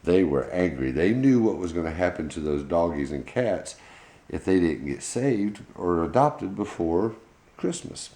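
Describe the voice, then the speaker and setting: Low-voiced mellow man telling a bedtime story. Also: big pitch swings, unhurried, echoey room. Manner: folksy, colloquial grammar. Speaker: pet channel host telling a bedtime story. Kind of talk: informal improvised children's storytelling.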